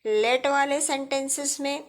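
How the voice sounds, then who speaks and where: A woman's voice: high-pitched, fairly even intonation, unhurried. A woman with an Indian accent giving a step-by-step grammar lesson as a teacher, addressing her students directly.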